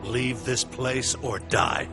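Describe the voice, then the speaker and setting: An actor playing an arrogant, defiant Egyptian Pharaoh in an animated film, sneering, imperious and theatrical. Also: male voice, mid-range voice, slow pace, creaky, loud music behind the voice. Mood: menacing.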